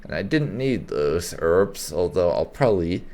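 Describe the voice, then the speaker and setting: A man hosting a casual video game playthrough, haltingly thinking aloud as he comments. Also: deep voice, lively intonation, darkish tone. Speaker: gaming channel host. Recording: game ambience faintly behind commentary.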